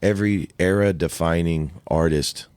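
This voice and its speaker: Man, from Ohio, deep voice, very low pitch, relaxed chatty guest, dry close sound. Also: normal speed, clear, resonant tone, no echo. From Ohio, USA. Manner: relaxed and chatty, peppers with "dude" and "right?". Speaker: guest singer-songwriter. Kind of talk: casual podcast conversation.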